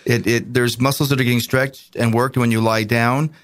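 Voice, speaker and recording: A man co-hosting a radio show in a studio, a bit hoarse from a chest cold, trading quick jokes with the host. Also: medium pitch, flat delivery. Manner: joking, quick banter. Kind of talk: casual studio chat. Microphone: studio microphone.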